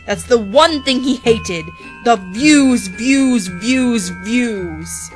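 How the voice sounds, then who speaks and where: A woman's voice: fairly high pitch, drawn-out words, soft background music. A woman narrating a rhymed storybook parody in a theatrical, playful way, drawing out words for dramatic emphasis.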